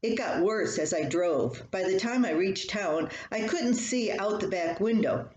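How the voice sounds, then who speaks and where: A woman's voice: medium pitch, animated, measured pace, a little mellow, lo-fi sound, lightly acted. A woman voicing a giggly, upbeat husband telling a story of a breakdown in an amused, emphatic way. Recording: roomy echo, faint background noise.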